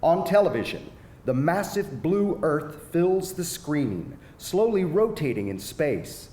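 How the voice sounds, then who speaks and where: Expressive male narrator in an echoey hall. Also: fairly high pitch. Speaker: stage-direction narrator. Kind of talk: scripted narration at a live screenplay reading. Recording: echoey hall, faint background noise.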